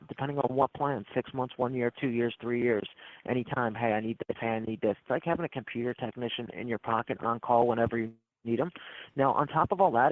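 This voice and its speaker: A man working as a phone tech support salesman, running a smooth, persistent sales pitch and repeating his selling points over and over. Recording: tinny phone line.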